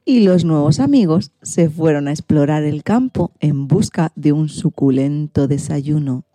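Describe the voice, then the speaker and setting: Female children's storyteller, expressive and deliberate, soft mellow tone, dry close sound. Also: low-pitched, no echo. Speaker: narrator. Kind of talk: children's radio story narration.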